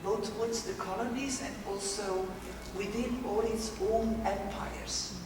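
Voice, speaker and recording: A woman's voice: mid-range voice, steady tone, measured pace. A woman from Belgium joining a discussion after a lecture, thoughtful, with frequent restarts. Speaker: discussion participant. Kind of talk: spontaneous discussion. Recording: echoey hall, distant mic, background noise.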